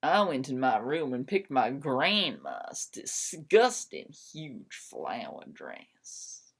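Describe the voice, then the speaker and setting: Woman, dramatic story narrator, theatrical, slow pace, lively swooping intonation. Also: a bass voice, vocal fry, husky.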